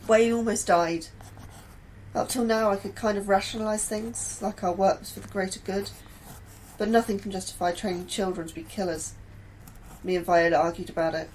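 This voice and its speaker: A woman's voice: mid-range voice, steady tone, moderate pace, a little mellow, lightly acted, poor audio. A woman playing an anxious, conscientious astrobiologist narrating her diary in an audio drama, with faint noise. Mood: troubled.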